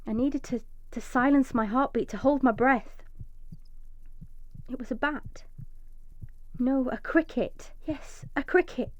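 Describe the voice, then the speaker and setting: An actress playing a desperate, vengeful mother trapped underground, narrating intensely in a breathy first-person radio drama monologue. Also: sits high, slow, long pauses. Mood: tense, hushed. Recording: echoey, slightly dull recording.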